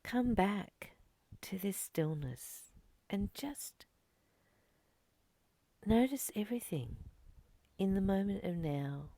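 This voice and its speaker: A woman reading a spiritual text aloud and reflecting on it in a slow, gentle, contemplative way. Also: mid-range voice, lively intonation, no echo. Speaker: spiritual study reader and teacher.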